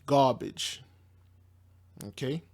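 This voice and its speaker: A man's voice: mid-range voice, very slow, gravelly, a little mellow. A man commenting informally on news and viewer comments from a religious angle, speaking emphatically and repeating himself.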